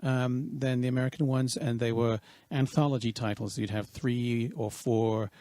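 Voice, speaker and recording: A man in his fifties from Wales, a guest talking through his thoughts with many pauses and restarts. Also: mid-range voice, fairly even intonation, mellow, no room sound, clean studio sound. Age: 50s. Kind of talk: casual interview.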